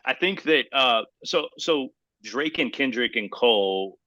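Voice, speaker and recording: A man's voice: mid-range voice, animated, unhurried, pushed and bright, dry recording. A man hosting a casual hip-hop podcast debate, speaking in an emphatic, slangy way and repeating himself for emphasis. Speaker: podcast host.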